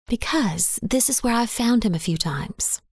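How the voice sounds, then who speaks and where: A defiant young woman of small build, as a woman narrator acts her out. Her voice sits a little high and bristles with indignation as she dodges questions. It is audiobook dialogue, recorded very dry. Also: lively intonation, unhurried, a little mellow, husky, dry recording. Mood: indignant, evasive.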